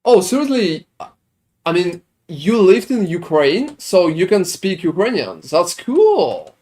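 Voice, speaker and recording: A man's voice: sits high, big pitch swings, slow pace, warm tone. Man from Sweden chatting on a stream. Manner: chatty, rambling, swears, answers chat questions. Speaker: game streamer. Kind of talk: casual gaming stream chat.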